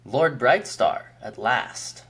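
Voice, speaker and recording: A man voicing an ancient, commanding male keeper of mysteries in an audiobook, speaking in a grave, measured and haughty way. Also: medium pitch, lively intonation, a bit bright, slightly rough, exaggerated voice. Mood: formal. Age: older. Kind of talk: audiobook character voice.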